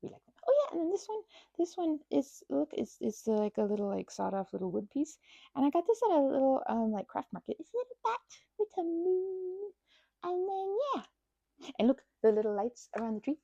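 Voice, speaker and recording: A woman with a fairly high, very lively voice plays the best friend in an ASMR roleplay. She rambles from one aside to the next with childlike excitement. The sound is dry and intimate, with no room echo. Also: brisk, vocal fry, highs cut off. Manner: rambling, scattered, jumping between asides.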